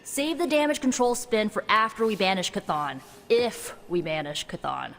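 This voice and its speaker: A jaded, sardonic young heroine. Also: female voice, medium pitch, unhurried, a bit bright. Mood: bitter, exasperated. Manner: wry, blunt, exasperated. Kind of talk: video game cutscene acting. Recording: long reverb, faint music.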